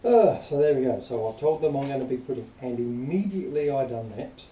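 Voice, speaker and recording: A man giving an informal spoken review in a rambling, matter-of-fact, grumbling way, partly reading aloud, recorded quietly on a camera some distance away. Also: medium pitch, expressive, fairly quick, lo-fi sound. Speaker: product reviewer. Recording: distant quiet mic, roomy echo, faint noise.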